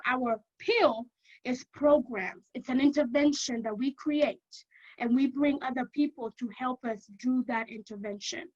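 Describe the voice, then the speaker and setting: Woman, Kenyan accent, high voice, energetic lecturer, says "okay?" a lot, muffled lo-fi sound. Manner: energetic, keeps checking in with "okay?", repeats for emphasis. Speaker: university lecturer. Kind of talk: informational talk to prospective students.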